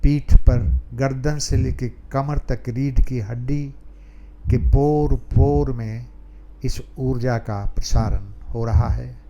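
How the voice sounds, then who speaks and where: A man leading a guided meditation calmly, pausing between short phrases. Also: medium pitch, creaky, highs cut off. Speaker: meditation teacher.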